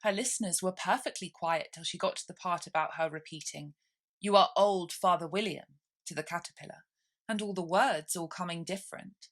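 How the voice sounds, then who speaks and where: A woman reading a classic children's story aloud as expressive scripted narration. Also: fairly low pitch, a little fast. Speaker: storybook reader.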